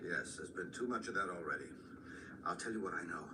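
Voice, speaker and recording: An earnest, probing schoolteacher, played by a male actor in a radio drama, with a lowish voice and terse delivery. The sound is distant, like a replayed broadcast, with noticeable noise. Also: fast talker, lightly acted. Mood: urgent, earnest. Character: earnest convalescent male schoolteacher seeking help against vampires.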